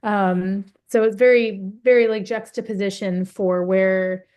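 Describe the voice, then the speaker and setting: A young woman talks through a video call in a mid-pitched voice. She speaks earnestly but haltingly, pausing on fillers and restarting her sentences as she feels her way forward. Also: steady tone, a little mellow. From United States. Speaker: panelist. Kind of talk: webinar testimony.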